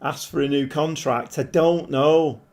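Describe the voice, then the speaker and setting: A man with a croaky, hoarse voice, chatting casually as he streams a game and thinks aloud. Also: a little high, fairly even intonation, unhurried, darkish tone. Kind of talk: casual live-stream commentary. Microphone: desk microphone.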